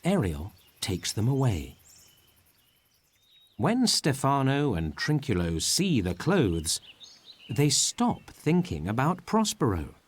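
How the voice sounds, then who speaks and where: A man's voice: mid-range voice, huge pitch swings, takes it slowly, slightly rough. A man narrating a read-along story for beginners.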